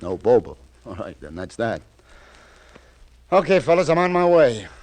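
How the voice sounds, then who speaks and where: An actor playing an awkward, apologetic police lieutenant in a 1950s radio crime drama, with a hesitant, stammering delivery. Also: male voice, mid-range voice, very animated, moderate pace, darkish tone, slight background noise, lightly acted. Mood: businesslike. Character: awkward, apologetic police detective lieutenant breaking bad news.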